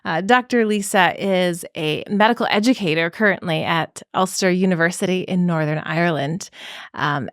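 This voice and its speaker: A woman's voice: on the low side, no echo, studio quality. A woman doctor hosting a podcast, welcoming her guest and asking questions in an encouraging way. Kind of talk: podcast interview.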